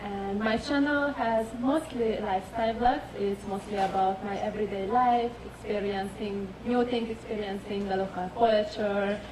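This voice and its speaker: A woman's voice: mid-range voice, steady tone, moderate pace, few pauses. A composed woman originally from Hungary speaks fluently about her lifestyle vlog. She is heard from a distance in an echoey hall. A crowd murmurs behind her. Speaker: lifestyle vlogger, panelist.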